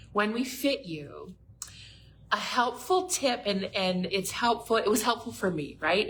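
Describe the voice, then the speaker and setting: Young woman, co-host, casual tips, very slow delivery, faint hiss. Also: medium pitch, fairly bright tone. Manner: slow, repeats phrases, encouraging. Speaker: co-host at a bra shop. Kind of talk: casual advice chat.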